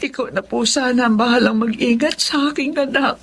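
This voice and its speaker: A woman with a Filipino accent playing a worried, protective widowed mother in a radio drama, earnest and gentle but firm. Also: a little high, fairly quick, natural voice. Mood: anxious, sorrowful. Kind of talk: Tagalog radio drama acting.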